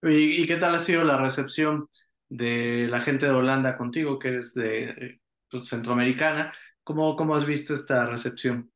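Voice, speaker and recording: A man's voice: medium pitch, a bit bright, echoey room. A man hosting an interview over a video call, asking friendly questions and nodding along with short murmurs. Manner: friendly, brisk questions, frequent "ujú" backchannels. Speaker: interview host. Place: home office with shelves. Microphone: video call webcam.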